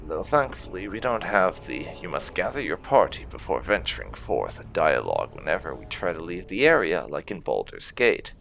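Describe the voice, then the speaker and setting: A man's voice: low-pitched, very animated, normal speed, gravelly, few pauses, a bit bright. A man giving casual commentary on his video game playthrough. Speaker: gaming video host. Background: game music.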